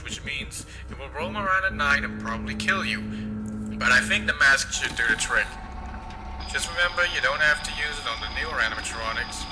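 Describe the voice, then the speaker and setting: A man's voice: fairly high pitch, lightly acted, low-quality recording. A man voicing a chatty male phone guy in a horror fan game, rambling casually with fillers. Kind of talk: recorded phone message acting.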